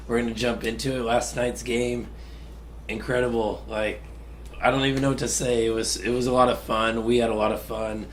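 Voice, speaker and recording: A man co-hosting a casual soccer talk show, his voice raspy from a cold, relaxed and analytical with hesitant restarts. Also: mid-range voice, slight background noise. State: getting over a cold. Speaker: podcast co-host. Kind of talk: casual sports chat.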